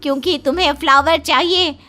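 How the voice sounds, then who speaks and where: A woman playing a chatty, rueful middle-aged auntie in a Hindi cartoon story, with gossipy, drawn-out exclamations. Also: high voice, fairly even intonation, pushed and bright. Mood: advising.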